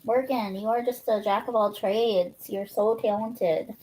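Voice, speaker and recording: A woman's voice: mid-range voice, measured pace, darkish tone, low-quality recording. A woman co-hosting a livestream in casual, chatty talk. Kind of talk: casual chat.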